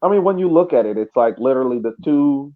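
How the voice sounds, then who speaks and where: A man's voice: medium pitch, animated, fast talker. Man on a tinny, phone-like line. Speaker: soccer podcast panelist. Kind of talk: casual match analysis.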